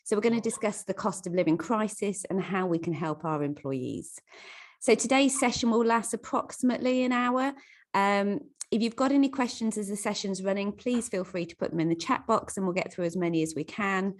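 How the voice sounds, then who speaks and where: A woman's voice: mid-range voice, fairly quick. A woman hosting a professional online meeting, friendly and loose, with many fillers. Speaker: webinar host. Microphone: video call.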